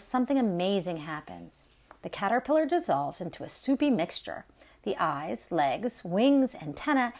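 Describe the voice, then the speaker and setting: Middle aged lady reading a kids picture book, really animated up and down intonation, careful storytime delivery. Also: mid-range voice. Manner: expressive, deliberate storytime delivery. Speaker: story time host, garden educator. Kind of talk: read-aloud of a children's nonfiction picture book.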